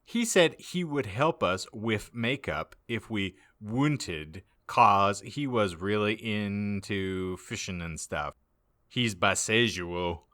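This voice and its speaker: A man with a fairly low, forceful voice narrates a fan-fiction story for comedy. He takes it very slowly and reads every misspelled word exactly as written. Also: animated. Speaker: podcast host reading as narrator.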